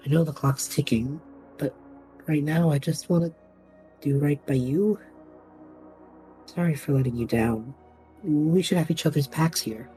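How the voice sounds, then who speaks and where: A woman's voice: sits low, dark tone, low-quality recording. A young woman playing an easygoing, impulsive student with a playful, dry manner in a naturalistic audio drama. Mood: sincere, hesitant.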